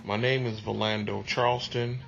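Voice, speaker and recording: Unhurried man. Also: lowish voice, level tone, creaky, a bit bright, highs cut off, soft background music. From Detroit, USA. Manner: unhurried, conversational, with many ums. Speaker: music producer interviewee. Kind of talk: reflective interview answers.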